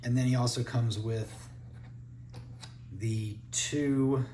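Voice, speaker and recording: A man's voice: medium pitch, fairly even intonation, slow, mellow, a little hiss or hum. A man giving a casual unboxing review, thinking aloud as he handles the toys. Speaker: toy reviewer.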